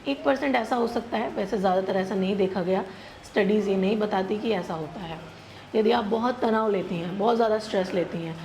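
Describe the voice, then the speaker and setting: An Indian woman speaks as a doctor hosting a health explainer. Her voice sits at an average pitch, and she addresses the listener directly in an instructive way, mixing in English terms. A faint noise runs behind her. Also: darkish tone. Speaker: gynecologist presenting a health channel. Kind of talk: explanatory medical advice to camera.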